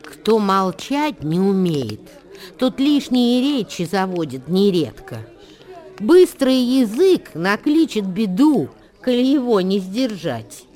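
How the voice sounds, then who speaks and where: Slow, solemn reciter of ancient wisdom. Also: female voice, mid-range voice, big pitch swings, warm tone. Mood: solemn, instructive. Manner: solemn, measured, declamatory. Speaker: radio play performer. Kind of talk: dramatic verse recitation in a radio play. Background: music.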